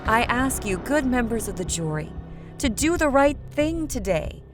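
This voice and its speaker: A woman playing a stern, accusing prosecutor in an animated comedy, speaking formally and deliberately. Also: a little high, over music. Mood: grave, persuasive. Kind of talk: animated comedy courtroom dialogue.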